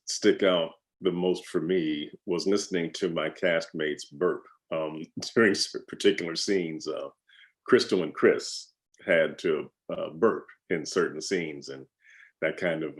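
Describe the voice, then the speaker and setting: A man who acts in audio plays, chatting casually and jokingly in an interview. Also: low voice. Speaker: audio play actor.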